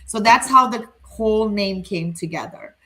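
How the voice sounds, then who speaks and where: Woman, family therapist, native Urdu speaker, candid reflective chat, bright voice, mid pitch. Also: animated, moderate pace. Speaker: family therapist and center founder. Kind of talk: casual panel conversation.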